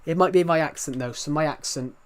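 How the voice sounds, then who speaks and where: A man's voice: a little high, fairly quick. A young man from the UK with a thick regional British accent, chatting casually and rambling with his live stream viewers. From United Kingdom. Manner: rambling, many restarts, chatty and candid.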